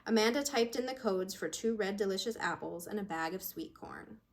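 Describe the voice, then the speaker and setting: A woman's voice: mid-range voice, fairly quick. A woman with a mid-range voice speaks as an author presenting her work. She moves between casual introduction and narrated storytelling. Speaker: author reading own short story. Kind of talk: casual introduction, then narrated fiction reading.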